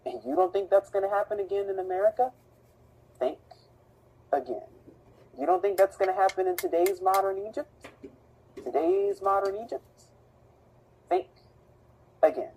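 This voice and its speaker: A woman's voice: medium pitch, moderate pace, long pauses, mellow, husky, low-quality recording. A woman narrating a video with dramatic rhetorical questions and swooping emphasis.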